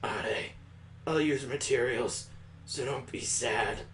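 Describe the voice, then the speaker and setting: A man voicing a shy, naive girl student who speaks in a halting, subdued way. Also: mid-range voice, a bit bright, reverberant, a little hiss or hum, voice pushed down. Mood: hesitant.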